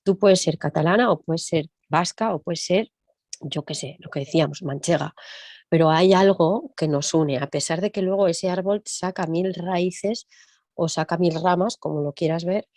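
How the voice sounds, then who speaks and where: A woman music teacher talking in an interview, thinking aloud and often tagging her points with quick questions. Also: on the low side, a little mellow, no echo, studio quality. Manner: reflective, thinks aloud with frequent tag questions. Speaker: music teacher and percussionist guest. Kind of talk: conversational interview.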